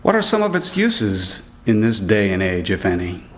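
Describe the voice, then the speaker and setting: A man narrating a scripted report as a student reporter, reading aloud in a deliberate way. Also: on the low side, sing-song.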